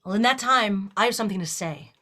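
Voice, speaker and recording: A woman's voice: mid-range voice, quick pace, bright, forceful tone, lightly acted. A woman playing a bold, persuasive female starship captain in a fan audio drama, speaking with theatrical emphasis. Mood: impassioned. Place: remote virtual production.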